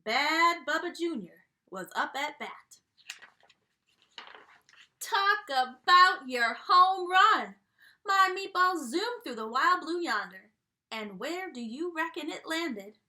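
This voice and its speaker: A woman's voice: extremely high pitch, expressive, takes it slowly, sharp tone, slight background noise, exaggerated voice. A woman voicing a folksy, cheerful male dog who runs a restaurant, telling his tale theatrically in a children's read-aloud. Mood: excited. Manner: theatrical, exclamatory, down-home country talk. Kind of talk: expressive children's read-aloud with sung refrains.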